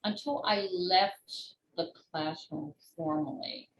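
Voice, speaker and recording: An older woman, in her sixties or beyond, answering interview questions as an author and former teacher in a measured, explanatory way with pauses between short phrases. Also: on the low side. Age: 60s or older. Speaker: children's book author and retired teacher being interviewed. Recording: roomy echo with clear background noise.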